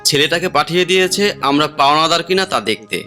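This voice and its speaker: A man's voice: fairly high pitch, lively intonation, fairly quick, pushed and bright, faint music behind. A man playing a skeptical, wry friend in an audio drama, dry and doubting. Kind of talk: Bengali audio drama acting.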